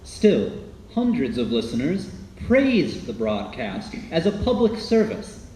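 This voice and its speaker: A man's voice: mid-range voice, huge pitch swings, slow pace, warm tone. A man narrating a scripted radio documentary in a dramatic, announcer-like way. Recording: echoey, faint background noise.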